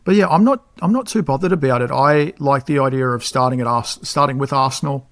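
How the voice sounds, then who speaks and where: A man's voice: mid-range voice, a little fast, a bit bright, dry recording, studio quality. Laid-back man on a podcast. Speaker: podcast co-host. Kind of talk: casual football podcast chat.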